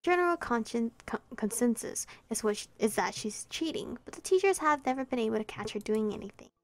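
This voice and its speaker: A woman's voice: fairly high pitch, animated, brisk, no echo. A young woman streamer reading a visual novel aloud in a light, chatty way into a close mic. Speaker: let's play streamer.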